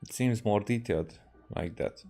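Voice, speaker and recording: Hungarian-native guy streaming a game, mellow voice, kinda hesitant, chatting casually, music behind. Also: fairly low pitch, moderate pace. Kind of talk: casual game commentary, reading dialogue aloud.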